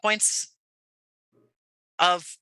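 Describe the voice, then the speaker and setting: A man's voice: extremely slow, pushed and bright. An American man from Jersey City talking reflectively as an interview guest, in a conversational, wordy way that often doubles back on itself. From Jersey City, United States. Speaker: podcast guest, audio playwright.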